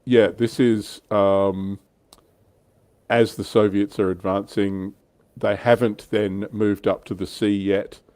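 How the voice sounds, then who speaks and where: A man's voice: fairly low pitch, flat delivery, slow, a little mellow, no echo. A man hosting a livestream, explaining history in an informal, enthusiastic, chatty way. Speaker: livestream host. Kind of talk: casual explanatory history chat.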